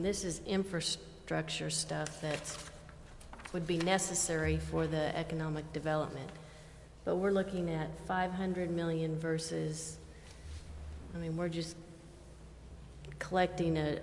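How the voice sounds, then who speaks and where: A woman's voice: sits low, level tone, unhurried. A woman asking questions informally at a public meeting, rephrasing her point as she searches for it. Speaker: committee member asking questions. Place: large echoey council chamber. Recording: echoey hall reverb, faint background noise.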